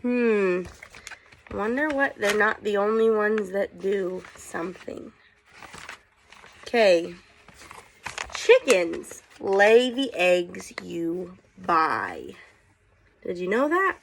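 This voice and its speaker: A woman's voice: medium pitch, sing-song, slight background noise, poor audio. A woman teacher reading a picture book aloud to children, casually prompting them with questions as she goes. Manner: unhurried, inquisitive, prompting listeners with questions.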